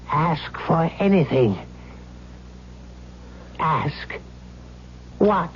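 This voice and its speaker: An actor playing a dying old man, a solemn and pleading mystic, in an old-time radio drama. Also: a little high. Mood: calm, solemn. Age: older. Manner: portentous, pleading. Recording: dull, noisy old radio recording.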